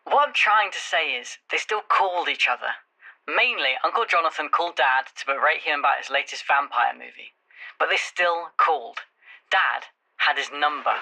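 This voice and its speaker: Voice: mid-range voice, pushed and bright, a little hiss or hum, exaggerated voice, rough recording. An actor playing an impulsive, wry young friend who rambles and stammers through a voicemail in an audio drama.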